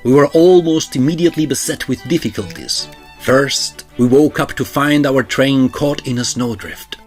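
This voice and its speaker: An actor playing an earnest opera troupe gentleman, formal and old-fashioned, in a dramatised narration. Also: mid-range voice, normal speed, soft background music, close to an everyday voice. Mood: measured narrating.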